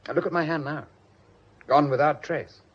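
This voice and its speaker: Husky, flippant British gentleman. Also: fairly high pitch, moderate pace, lo-fi sound. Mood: flippant, teasing. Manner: arch, jaunty, mock-grand. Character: flippant, sardonic gentleman, breezy and teasing. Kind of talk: British radio drama acting.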